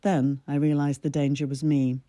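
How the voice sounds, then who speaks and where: A woman in her sixties reads her own essay aloud in a measured, reflective storytelling voice. Her mid-Atlantic accent carries a light Scottish lilt, and her tone is deeply mellow and dark. The sound is dry and close, with no room around it. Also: flat delivery, dry recording. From Scotland. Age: 60s. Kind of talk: scripted personal essay reading.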